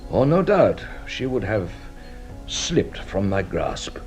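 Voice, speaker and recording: An actor playing a shrewd, courteous police detective in a radio drama, speaking with a theatrical, deliberate manner. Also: male voice, fairly low pitch, big pitch swings, darkish tone, faint music behind. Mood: emphatic. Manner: theatrical, deliberate explaining, savouring each revelation.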